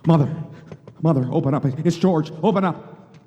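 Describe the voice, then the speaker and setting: A man's voice: fairly high pitch, normal speed, warm tone, highs cut off, faint music behind, lightly acted. A man in a live radio play acting a frantic, bewildered everyman husband who stammers and repeats himself. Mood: desperate pleading. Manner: stammering, repeating words in agitation. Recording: live hall, long reverb.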